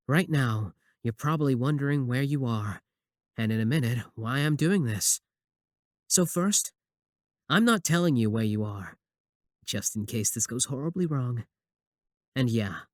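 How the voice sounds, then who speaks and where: A man's voice: mid-range voice, no echo, natural voice. Man acting a possessive young admirer, close and dry. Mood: gloating, menacing. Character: possessive, obsessive young male student, sweet yet menacing admirer. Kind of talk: scripted ASMR roleplay acting.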